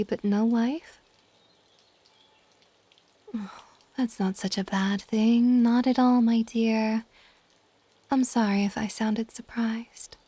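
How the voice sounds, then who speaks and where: A woman voicing an ancient, gentle and possessive dragon goddess in a soft, intimate, partly whispered ASMR roleplay. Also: a little high, dry recording. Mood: curious, probing. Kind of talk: ASMR romantic audio roleplay.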